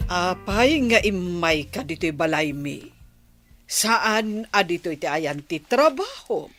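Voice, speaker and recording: A woman's voice: fairly low pitch, very animated, takes it slowly, a bit bright, soft background music, full character voice. A woman with an Ilocano Filipino accent playing a haughty, dismissive older mistress of the house in a radio drama.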